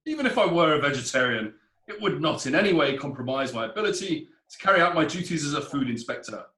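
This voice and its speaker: An actor playing an indignant, pompous food inspector giving evidence in a period courtroom play, with emphatic delivery. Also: male voice, fairly high pitch, very animated, fast talker, bright, forceful tone, echoey room. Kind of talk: theatrical courtroom play acting.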